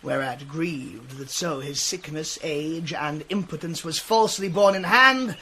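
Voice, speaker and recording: An actor playing a formal, dutiful ambassador, giving a declamatory report in a classical Shakespearean drama. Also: male voice, on the high side, a little slow, sharp tone, a little hiss or hum. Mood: formal, emphatic.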